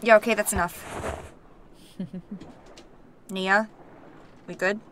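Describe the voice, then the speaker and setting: A woman playing a gruff, blunt, commanding warrior in a fantasy audio drama. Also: mid-range voice, fairly even intonation, slow, fairly bright tone, a little hiss or hum. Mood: guarded, grudging. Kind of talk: naturalistic audio drama acting.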